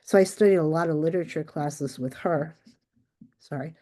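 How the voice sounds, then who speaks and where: Older woman, hoarse and low. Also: normal speed, dark tone. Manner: hesitant, with many ums and restarts. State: hoarse from the end of a cold. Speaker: guest author. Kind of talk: casual interview answers. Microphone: video call.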